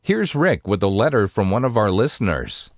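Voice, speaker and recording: Low, animated American male radio announcer. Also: normal speed, no echo, clean studio sound. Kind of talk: scripted broadcast announcement and appeal.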